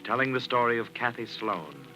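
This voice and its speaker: An actor playing an ominous mystery host, narrating a melodramatic tale in 1940s radio style. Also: male voice, mid-range voice, expressive, moderate pace, pushed and bright, poor audio. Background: music.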